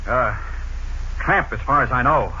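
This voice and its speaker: A man's voice: mid-range voice, a little slow, pushed and bright, breathy edge, exaggerated voice. An actor playing a nervous, evasive club man in a 1940s radio mystery. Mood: uneasy. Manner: hesitant, evasive. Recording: dull, faintly noisy vintage radio recording.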